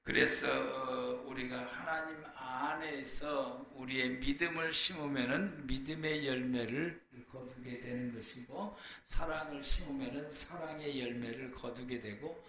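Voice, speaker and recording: A man preaching a church sermon as a pastor, hoarse from a cold, in a deliberate, explanatory way with pauses. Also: mid-range voice, steady tone, sharp tone. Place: church, speaking to a congregation. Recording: echoey room with faint background noise.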